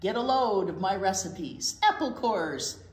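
Woman voicing a brash, theatrical garbage truck, swooping pitch. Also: animated, natural voice. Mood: relishing filth. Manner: theatrical, punchy short phrases with drawn-out words. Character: brash, boastful, hungry garbage truck that revels in filth. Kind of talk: picture book read-aloud. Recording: roomy echo, faint room noise.

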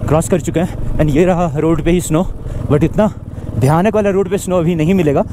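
Young man, travel vlogger, chatty and excited, action camera on a motorbike, clear road and wind noise. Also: on the high side, moderate pace. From India. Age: young adult. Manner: chatty, excited, mixes in English words. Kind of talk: casual travel vlog narration. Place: outdoors on a mountain road, riding a motorbike. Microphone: action camera on the bike.

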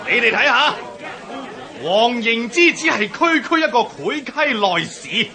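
Gloating rebel warlord, hong kong cantonese radio drama, very high voice, slow grand delivery. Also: male voice, very animated, a loud crowd, heavily acted. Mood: gloating, commanding. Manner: declamatory, gloating, commanding. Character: boastful, bloodthirsty male rebel warlord rallying his followers. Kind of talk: Chinese historical radio drama acting.

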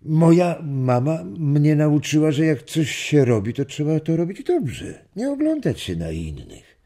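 Husky, animated old grandfather. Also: a little high, exaggerated voice. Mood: storytelling. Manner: animated storytelling with chuckles and drawn-out words. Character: warm old grandfather reminiscing with his family. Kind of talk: children's radio play acting.

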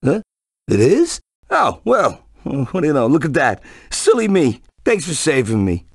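A man's voice: on the high side, wildly swooping pitch, a little slow, creaky, slightly rough, dry recording, studio quality, heavily acted. A man voicing a nervous, dramatic talking pig in a cartoon video game. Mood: sheepish. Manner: anxious, dramatic. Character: nervous, fretful talking pig, a captive on vacation.

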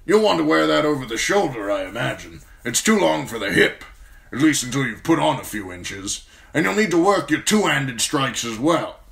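A man voicing a blunt, gruff old military lord who gives brusque orders, in an audiobook reading. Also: mid-range voice, very animated, normal speed, a bit bright, pitched above the performer's own voice.

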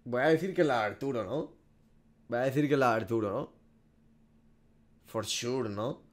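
Man in his twenties, gaming reactions, casual, slangy, foul-mouthed, medium pitch. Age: 20s. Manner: slangy, profane. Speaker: gaming streamer. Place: small room at home.

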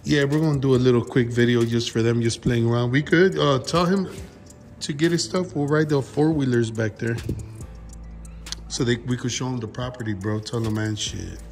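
A man's voice: mid-range voice, fairly even intonation, moderate pace, a little mellow, faint music behind. A man in his thirties chatting casually and emphatically in slang as a vlog host, repeating himself and calling people bro. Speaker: YouTube car channel host. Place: open-fronted metal garage workshop. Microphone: handheld phone camera nearby.

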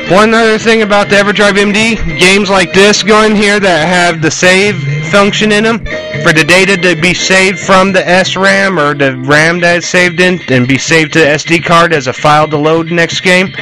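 A man's voice: sits high, fairly even intonation, moderate pace, music in the background, low-quality recording. A man giving casual, rambling gameplay commentary with crude language, recorded indoors in a small trailer on a camera aimed at the screen. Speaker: gamer, video host.

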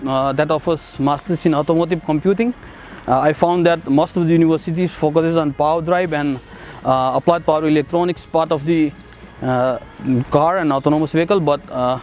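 A young man from Nepal, a master's student, talking casually about his studies with many hesitant fillers and soft chuckles, recorded on a lapel mic. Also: on the high side, fairly quick, a little hiss or hum. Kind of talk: casual testimonial interview. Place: outdoors on a city riverside path and in a bright building.

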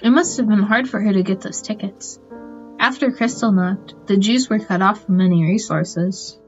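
A woman's voice: mid-range voice, moderate pace, a little mellow, close to an everyday voice. A woman playing an attentive interviewer in a radio play, in a dull recording. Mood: curious, sympathetic. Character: attentive interviewer of an old refugee. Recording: dull sound, piano underneath.

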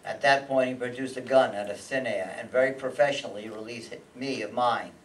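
A man's voice: medium pitch, a little fast, a little hiss or hum, close to an everyday voice. An older man playing a hard-boiled, sardonic private detective and narrator in a radio play, terse and matter-of-fact. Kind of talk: old-time radio acting and noir narration. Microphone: stand microphone on stage, heard from audience. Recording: live stage pickup from far off, music.

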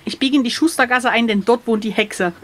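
High-voiced, excitable woman playing a gamebook. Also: fairly quick. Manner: excitable, expressive, exclaiming. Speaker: player of an adventure gamebook. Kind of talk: casual chat while playing.

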